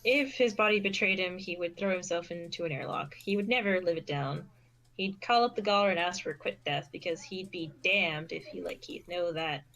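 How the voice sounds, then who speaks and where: A woman's voice: lowish voice, normal speed, a bit bright, poor audio. A woman reading a story aloud as narrator, now and then stumbling and repeating a phrase. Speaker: fan fiction reader and narrator.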